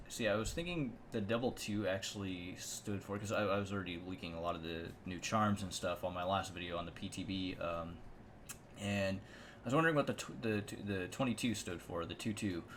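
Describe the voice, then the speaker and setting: Deep-voiced man giving casual game commentary. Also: normal speed, a bit bright, a little hiss or hum. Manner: rambling, offhand. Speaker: video game channel host.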